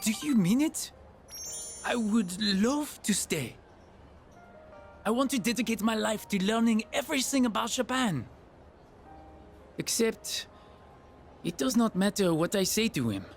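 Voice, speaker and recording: An earnest young French exchange student in a game. His high voice has a French accent and careful, polite phrasing, with soft music behind. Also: animated, measured pace, long pauses. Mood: earnest, sad. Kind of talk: video game voice acting.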